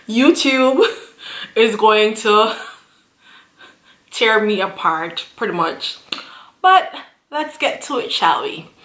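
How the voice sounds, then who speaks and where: A woman's voice: a little high, expressive, very slow, long pauses, fairly bright tone, reverberant. A woman in her thirties hosting a casual vlog chat, chatty and repeating her phrases. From Jamaica. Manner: chatty, repeats phrases, frequent laughs and fillers. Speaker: YouTube channel host.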